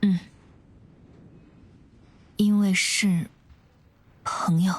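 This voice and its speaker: A woman playing a quiet, aloof, literal-minded young woman in a video game, soft and detached, in sparse phrases. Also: medium pitch, fairly even intonation, drawn-out words. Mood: calm, detached. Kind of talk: video game cutscene acting.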